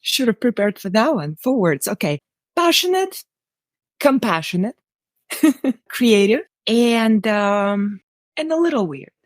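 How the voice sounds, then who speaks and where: A woman's voice: on the high side, sing-song, slow, pauses often, no echo, studio quality. A woman from Russia with a Russian accent, speaking conversationally and earnestly as an interview guest telling personal stories. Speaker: interview guest, writer-director.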